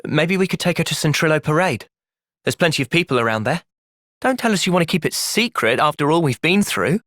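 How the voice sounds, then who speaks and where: A man voicing a thoughtful, loyal young hero in a video game, with an earnest, measured delivery. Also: fairly high pitch, lively intonation, fairly bright tone, no echo, studio quality. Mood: calm. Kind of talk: video game cutscene voice acting.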